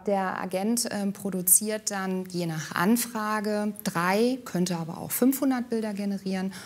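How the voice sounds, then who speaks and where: Mid-pitched woman explaining in interview. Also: unhurried. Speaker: company AI expert.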